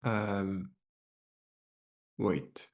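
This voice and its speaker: A man from Switzerland whose first language is German chats as a guest on a video call. He jokes and laughs often in a relaxed, conversational way. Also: fairly low pitch, extremely slow. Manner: chatty and joking, laughs a lot. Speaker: student and podcast guest. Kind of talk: casual chat on a video call. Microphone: video call microphone.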